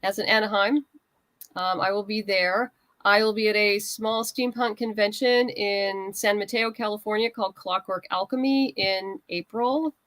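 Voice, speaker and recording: A woman's voice: medium pitch, steady tone, moderate pace, a bit bright, no echo. Woman guest in a dry recording. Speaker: guest writer and comics creator. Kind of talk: casual interview chat, plugging a crowdfunding campaign.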